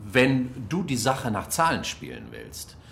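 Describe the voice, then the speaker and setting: An actor playing a wry, evasive German diplomat in a radio drama, dry and ironic in manner. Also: male voice, mid-range voice, wildly swooping pitch, a little slow, a bit bright, a little hiss or hum, exaggerated voice. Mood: wry bargaining. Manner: dry, ironic, smooth-talking. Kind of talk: naturalistic radio drama acting.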